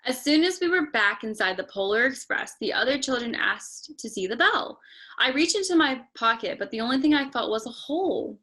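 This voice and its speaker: Higher-voiced woman reading to young children. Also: moderate pace. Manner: expressive, talking directly to young children. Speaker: storytime reader. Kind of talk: children's picture book read-aloud with chatty asides.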